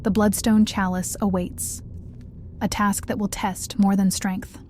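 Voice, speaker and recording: A woman narrates as a young vampire in a fantasy roleplay, brooding and introspective. Her voice is mellow and very even, with faint ambience behind it. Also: mid-range voice, unhurried, slight background noise, lightly acted. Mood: solemn, brooding. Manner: solemn, introspective. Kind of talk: scripted first-person roleplay narration.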